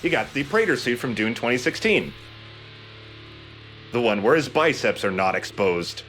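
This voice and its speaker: A man's voice: medium pitch, animated, normal speed, fairly bright tone. A man hosting a let's play with casual, informal commentary, enthusiastic about small details. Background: game music.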